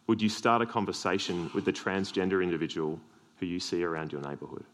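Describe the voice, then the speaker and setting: An Australian man with a deep voice preaching a sermon, earnest with self-deprecating humorous asides. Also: fast talker.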